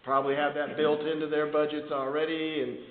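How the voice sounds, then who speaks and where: A man's voice: a little high, little pitch movement, fairly quick, narrow, tinny audio. A man, a town official, talking informally in a council meeting over a conference call. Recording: echoey, noisy phone conference line.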